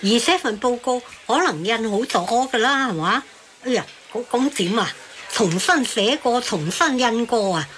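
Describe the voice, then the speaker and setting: A woman's voice: on the high side, animated, moderate pace, fairly bright tone, slight background noise. A woman playing a puzzled, fussy everyday character who questions and exclaims in a radio drama. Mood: puzzled, questioning.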